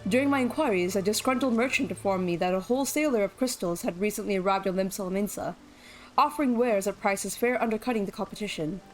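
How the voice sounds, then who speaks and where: A woman's voice: mid-range voice, fast talker, natural voice, poor audio. A woman reading a formal young male scholar's game lines aloud, stumbling and restarting over the words. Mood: earnest. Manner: formal, reads with slips and restarts. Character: earnest young male scholar planning a scheme. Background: game music.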